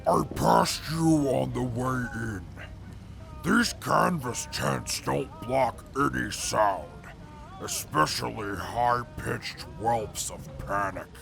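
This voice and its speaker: A man playing a haughty, stern companion in an audio drama, speaking in a formal, pompous, deadpan way. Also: medium pitch, slow, creaky, soft background music, exaggerated voice. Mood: disdainful. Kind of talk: post-apocalyptic audio drama acting.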